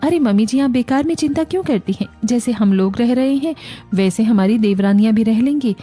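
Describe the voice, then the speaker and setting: A woman playing a devoted young daughter-in-law in a cartoon family story, gentle, caring and respectful. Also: on the high side, fairly quick, husky, faint music behind. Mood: patient, reassuring. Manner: reassuring, respectful.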